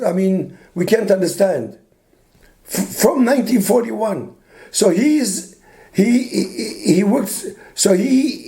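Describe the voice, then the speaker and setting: A hoarse older man gives a loose, story-filled rabbinic talk. His voice sits high for a man yet sounds dark and mellow. He often stops and restarts his sentences. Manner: meandering, many restarts, mixes Yiddish and Hebrew terms. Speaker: rabbi giving a weekly talk. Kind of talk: informal Torah talk with stories.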